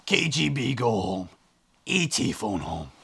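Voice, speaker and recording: A man playing an odd, evasive homeowner suspect with a gravelly, raspy voice. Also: mid-range voice, sing-song, unhurried, a bit bright, a little hiss or hum, heavily acted.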